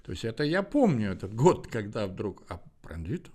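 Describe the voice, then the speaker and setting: A man's voice: fairly low pitch, sing-song, normal speed, a little mellow. A man chatting casually as the host of an interview podcast.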